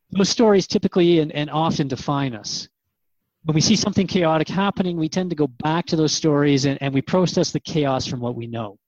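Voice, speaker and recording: A man's voice: a little high, dry recording, dull audio. A man hosting a radio interview program, conversational and reflective as he addresses listeners and his guest. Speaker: radio host.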